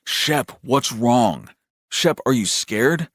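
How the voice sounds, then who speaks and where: A man's voice: mid-range voice, lively intonation, drawn-out words, a bit bright, no echo, clean studio sound, heavily acted. A man voicing a patient, protective older brother in an audiobook, coaxing and reassuring. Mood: concerned, soothing. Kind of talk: audiobook character voice.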